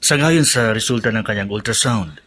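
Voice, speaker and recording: Seryosong doktor, mababa ang boses. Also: male voice, on the low side, a bit bright. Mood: grave, frank. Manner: measured, serious, formal. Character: grave, frank male doctor advising a family. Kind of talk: Filipino radio drama acting.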